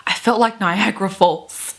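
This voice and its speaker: A woman's voice: medium pitch, fairly even intonation, normal speed, vocal fry, a little hiss or hum. Chatty, wry young woman vlogger. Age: 20s. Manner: chatty, wry, swears casually. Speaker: book vlogger. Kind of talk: casual chat mixed with dramatic readings of fan fiction. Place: small bedroom, indoors.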